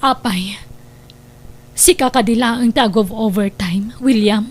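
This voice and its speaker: A woman acting a high-voiced, suspicious wife. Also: a little slow. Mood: hurt, accusing. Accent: Filipino, Ilocano. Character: suspicious, hurt wife and mother confronting her husband. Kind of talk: Ilocano audio drama acting.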